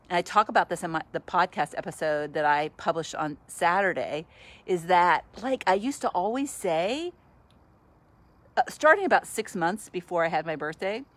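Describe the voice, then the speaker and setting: A woman in her sixties, a podcast host, chatting casually and cheerfully into a phone at arm's length on a sunny outdoor patio, laughing often. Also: lowish voice. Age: 60s. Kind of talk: casual selfie video chat.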